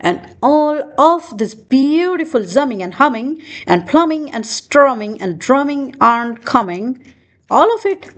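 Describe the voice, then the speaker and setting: A woman reads a children's storybook aloud with a high voice. Her delivery is expressive and sing-song, swooping widely in pitch. She takes the rhymes slowly, giving each phrase room. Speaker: children's book reader.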